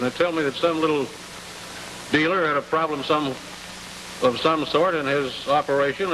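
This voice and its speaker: A man's voice: a little high, a bit bright. An American man hosting a 1950s television interview, asking long questions in a folksy way. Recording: noisy, hissy 1950s television broadcast.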